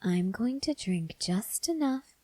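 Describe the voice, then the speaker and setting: A teasing, dangerous female vampire, acted by a woman for an ASMR roleplay. Her voice is dark and breathy, often falling to a whisper. She speaks very slowly and intimately, close to the mic, coaxing with quiet menace. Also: medium pitch, lively intonation, no echo. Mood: coaxing, predatory. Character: soft-spoken, teasing, menacing female vampire huntress with an axe.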